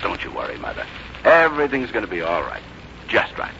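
A man's voice: low voice, huge pitch swings, a little fast, vocal fry, bright, forceful tone, heavily acted. An actor playing a middle-aged son who fusses over his mother in a folksy, solicitous, hesitant way, in 1950s radio drama style. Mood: placating. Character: fussing, reassuring grown son, fond of his mother. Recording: dull, noisy 1950s radio recording.

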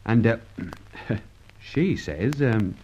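Cheeky, animated rogue sidekick. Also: male voice, medium pitch, very slow, husky, full character voice. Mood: chatty, amused. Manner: colloquial, jocular storytelling. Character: cheeky, chatty working-class sidekick, a nimble rogue. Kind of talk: old radio serial acting. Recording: dull, lo-fi old radio recording.

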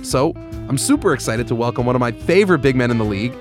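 A man hosting an interview podcast, speaking articulately with enthusiastic, lively emphasis. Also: medium pitch, fast talker, soft background music. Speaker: podcast host.

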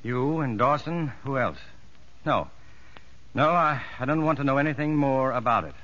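An actor playing an earnest prison psychologist in a 1950s radio drama, measured and probing. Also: male voice, medium pitch, a bit bright, lightly acted. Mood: probing, commanding. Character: earnest male prison psychologist, narrating and talking with convicts. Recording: dull vintage radio recording, music underneath.

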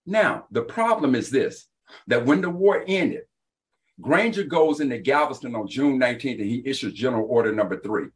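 A man argues his case as a panel guest. His pitch is mid-range but swings widely as he stresses points. His manner is emphatic and insistent, like a lecturer. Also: animated, moderate pace. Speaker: panel guest, author and activist. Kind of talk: panel debate.

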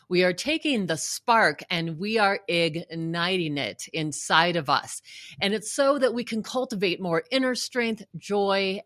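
A woman's voice: on the low side, fairly bright tone, dry recording, clean studio sound. Upbeat woman hosting a podcast. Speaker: podcast host. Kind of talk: enthusiastic interview chat.

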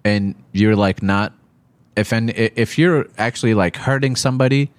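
A man's voice: on the low side, no room sound. Man chatting casually, dry sound. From Iraq. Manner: halting, informal, profane. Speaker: podcast guest, stand-up comedian. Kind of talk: casual podcast chat.

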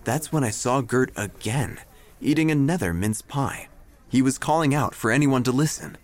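An actor playing a melodramatic, self-important obituary writer who narrates an audio drama with theatrical pauses. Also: male voice, mid-range voice, close to an everyday voice. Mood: dry, dramatic. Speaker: narrator and protagonist. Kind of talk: first-person audio drama narration, gothic parody.

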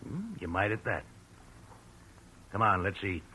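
A man playing a sly, guarded, needling crook with a husky voice in 1940s radio drama style. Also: fairly low pitch, very animated, measured pace, heavy background noise. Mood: sly, amused.

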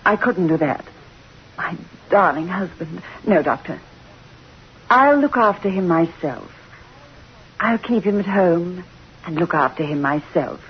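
Scheming, gloating wife, deliberate and unhurried, old radio thriller, muffled sound. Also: female voice, fairly low pitch, expressive, a little hiss or hum. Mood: sweetly devoted. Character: vengeful scheming wife tormenting her paralysed husband. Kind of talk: old-time radio horror acting. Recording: dull vintage radio recording, music behind.

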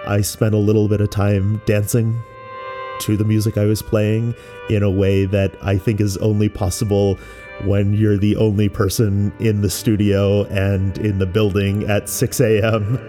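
A man who works as a musician explains experimental music in a measured, thoughtful way with pauses. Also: on the low side, flat delivery. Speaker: musician and composer. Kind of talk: explanatory talk about making experimental music.

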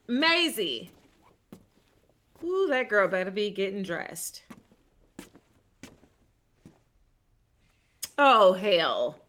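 No-nonsense, sarcastic mother: scolding, forceful, fairly high voice, audio drama. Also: exaggerated voice. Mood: impatient.

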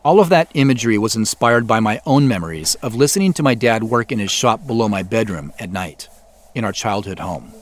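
A middle-aged man with an American accent, giving measured, explanatory scripted commentary as the creator of a show. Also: on the low side, animated. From US Pacific Northwest. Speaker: audio drama creator and host. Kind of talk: scripted behind-the-scenes commentary.

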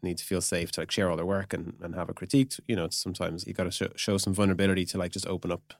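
Male podcast guest, lowish pitch, rapid informal explaining, dry studio-close sound. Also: level tone, creaky, no echo. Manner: informal, peppers speech with "like" and "you know". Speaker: podcast guest, product executive. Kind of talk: casual explanatory chat.

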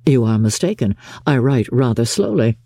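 A woman voicing a proud, reserved, coolly exacting gentleman in an audiobook, formal and measured. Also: extremely low pitch, animated, dry recording, clean studio sound, full character voice. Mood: cool reserve. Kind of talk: audiobook character acting.

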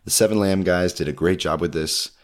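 A man's voice: sits low, brisk. A man hosting a podcast, giving a scripted introduction in a conversational way. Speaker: podcast host.

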